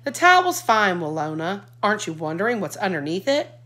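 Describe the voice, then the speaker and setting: A woman's voice: medium pitch, very animated, a little fast, pushed and bright. A woman voicing a cheerful, showy father who slips into a hammy salesman patter. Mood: teasing.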